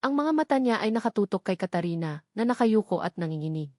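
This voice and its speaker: A woman's voice: mid-range voice, animated, quick pace, a little mellow, no echo, clean studio sound. A woman narrating a romantic drama in an emotive storytelling manner.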